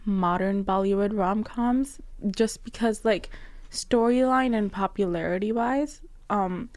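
A young woman co-hosting a casual podcast, animated and quick to laugh, with lots of "like" fillers. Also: mid-range voice, dry recording. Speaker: podcast co-host. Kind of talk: casual chat.

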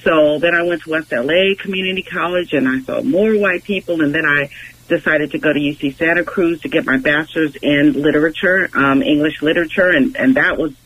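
A woman's voice: sits low, a little fast, a bit bright. A middle-aged American woman from Los Angeles, a guest talking reflectively over the phone, searching thoughtfully for her words. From Los Angeles, United States. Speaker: interview guest, English professor. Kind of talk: reflective conversational interview. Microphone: telephone. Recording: dull, noisy phone line.

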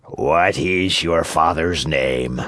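A man playing a soft-hearted, generous puppet master in a storybook, speaking in a weighty manner. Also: very deep voice, no echo, voice pushed down, exaggerated voice. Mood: questioning. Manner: slow, weighty.

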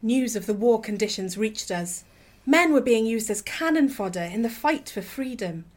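A woman's voice: on the high side, lively intonation, moderate pace. A woman playing an anxious wartime woman at home, narrating in a radio play. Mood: worried.

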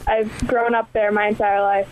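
A woman's voice: medium pitch, little pitch movement, moderate pace, a little hiss or hum, poor audio. A woman in her twenties from Boston with an American accent, answering interview questions casually with lots of "you know" and "um". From Boston, USA. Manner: friendly, many fillers like "you know" and "um". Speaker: ice hockey player guest.

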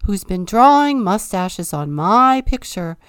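A woman voicing a vain, pompous male king in a storybook read-aloud, with booming, self-important proclamations. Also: big pitch swings, takes it slowly, fairly bright tone, smooth, no echo, clean studio sound. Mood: grand.

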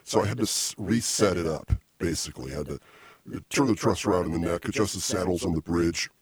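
Low, husky man teasing as host. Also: fairly quick, no echo. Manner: teasing, rambling, deadpan insults. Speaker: podcast host. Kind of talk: casual comedic chat. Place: home music studio. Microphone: small desk mic stand.

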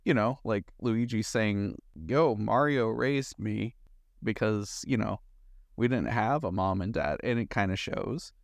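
A man's voice: lowish voice, unhurried, gravelly, no room sound. A man co-hosting a casual chat about movies, thinking aloud in loose, conversational asides. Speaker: podcast co-host.

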